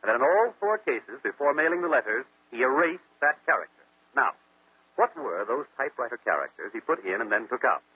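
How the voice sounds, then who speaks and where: A man's voice: mid-range voice, sing-song, husky, no room sound. A man playing a brilliant, methodical American detective who explains deductions step by step in 1940s radio mystery style. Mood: confident, explanatory. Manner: deliberate, didactic, question-and-answer reasoning. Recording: muffled vintage radio recording, phone-like.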